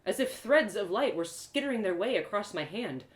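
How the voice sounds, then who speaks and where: Animated woman storyteller in an echoey room. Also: medium pitch, moderate pace. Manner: theatrical, dramatic phrasing. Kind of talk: expressive live reading of a first-person fantasy story. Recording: roomy echo.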